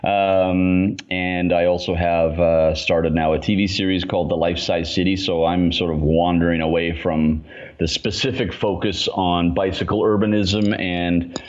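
A man in his forties from Canada, talking about his work in a relaxed, chatty way with many fillers. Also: sits low, a little slow, highs cut off. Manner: relaxed and chatty, lots of fillers, laughs easily. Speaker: guest, urban design consultant. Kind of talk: casual interview chat.

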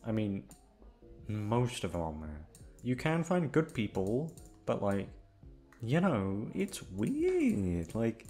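A man's voice: fairly low pitch, huge pitch swings, takes it slowly, darkish tone, faint music behind. A man chatting casually and hesitantly to his listeners, rambling along in a self-deprecating, sweary way. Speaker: audio content creator.

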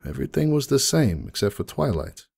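A man voicing a jaded, rueful middle-aged pony who runs a donut shop, rambling folksily in a confiding dramatic monologue with a gravelly voice. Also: medium pitch, very animated, fairly quick, a little mellow, full character voice. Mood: sorrowful, rueful. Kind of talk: dramatic first-person monologue reading.

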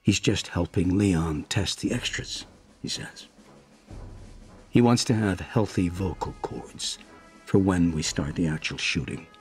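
An actor in a radio drama playing an exacting, domineering American film director who talks deliberately and keeps checking he is followed. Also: sits low, faint music behind. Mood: measured, probing. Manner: deliberate, probing, punctuates with checking questions. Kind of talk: naturalistic radio drama acting.

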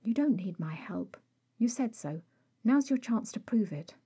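A woman voicing an exasperated, protective male vampire in an audio story, scolding in a clipped, often hushed way. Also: a little high, expressive, unhurried, husky, voice pushed up, exaggerated voice. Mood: stern.

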